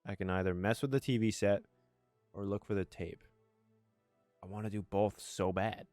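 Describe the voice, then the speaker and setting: A man's voice: on the low side, fairly even intonation, moderate pace, darkish tone, dry recording, soft background music. A man doing a casual let's play, reading narration aloud and commenting on it, sometimes tripping over his words. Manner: stumbles over words while reading, self-correcting.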